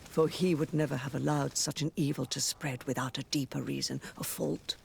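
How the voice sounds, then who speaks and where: An actor playing a dignified, pious high cleric in a video game, speaking formally and solemnly. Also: steady tone, moderate pace, gravelly, a little hiss or hum. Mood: grave, fervent.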